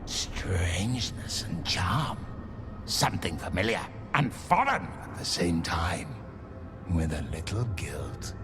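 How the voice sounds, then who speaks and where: A hungry, possessive video game demon, speaking in terse fragments. The breathy voice sinks low and often slips toward a whisper. Delivery is very slow yet swings widely in pitch. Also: male voice, big pitch swings, over music, full character voice. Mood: wary, musing. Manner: clipped, fragmentary phrases. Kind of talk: video game character acting.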